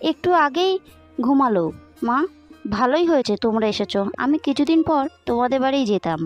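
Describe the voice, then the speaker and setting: Woman doing a high voiced fussy caring auntie, audio story, bit of music. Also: animated, a little fast, darkish tone, dull audio. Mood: welcoming. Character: hospitable, caring middle-aged mother and aunt.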